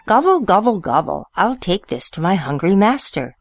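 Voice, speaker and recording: A woman's voice: mid-range voice, very animated, normal speed, no echo, soft background music. A woman reading as a plucky storybook turkey. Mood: earnest.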